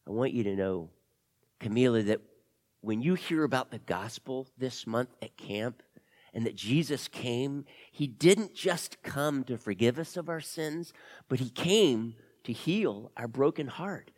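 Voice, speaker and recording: A male storyteller playing a caring young girl in a tale, speaking gently and earnestly to console. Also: mid-range voice, expressive, measured pace. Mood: tender, earnest.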